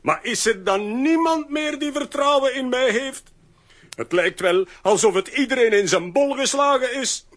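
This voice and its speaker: An actor playing an excitable, indignant comic bumbler in a children's audio drama, stammering and exclaiming. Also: male voice, extremely high pitch, moderate pace, heavily acted.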